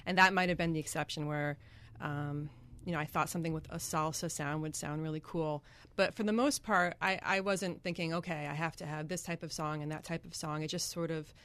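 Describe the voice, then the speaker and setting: A woman's voice: low voice, steady tone, normal speed, a bit bright. A woman from Connecticut, a singer-songwriter, chatting as a guest in a radio studio interview, with many ums and easy laughs. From Greenwich, Connecticut.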